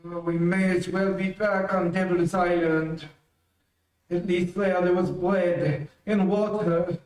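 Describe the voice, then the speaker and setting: A man's voice: sits high, level tone, slow pace, a little mellow. A man playing a suspicious, needling escaped convict with an old grudge in a radio mystery. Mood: bitter. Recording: very echoey, distant amateur stream audio.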